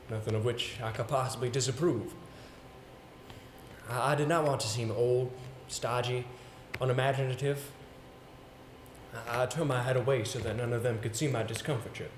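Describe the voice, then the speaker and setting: An echoey stage recording with some background noise carries a man acting a haunted, earnest gentleman. He tells his ghostly tale in deliberate, theatrical phrases that halt and repeat. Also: medium pitch, natural voice. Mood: wistful, uneasy. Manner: deliberate, dramatic phrasing with halting repetitions. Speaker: stage actor. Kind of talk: theatrical stage monologue and dialogue.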